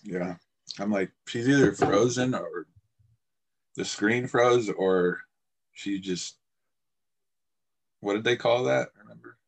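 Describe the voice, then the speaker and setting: A man co-hosting a podcast interview, asking long rambling questions in a relaxed way and laughing easily. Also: on the low side, slow pace, creaky, long pauses, breathy edge, rough recording. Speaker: podcast co-host. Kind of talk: casual interview chat.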